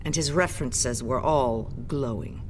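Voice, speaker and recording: A woman's voice: extremely low pitch, unhurried, darkish tone, slight background noise. An actress playing a businesslike female corporate executive in a video game, formal and detached. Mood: coolly firm.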